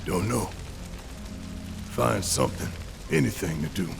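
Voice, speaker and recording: An actor playing a gruff, burly, loyal resistance fighter in a video game cutscene, speaking in blunt, clipped sentences. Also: male voice, on the low side, animated, measured pace, over music, full character voice. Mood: gruff, subdued.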